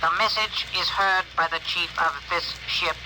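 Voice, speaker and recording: A man playing an alien spokesman with measured, formal, stilted speech in an old science fiction radio drama. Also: high-pitched, fairly even intonation, close to an everyday voice. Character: alien ship's spokesman, formal, stilted, translated through machine. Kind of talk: 1950s radio drama acting. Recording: noisy old 1950s radio broadcast.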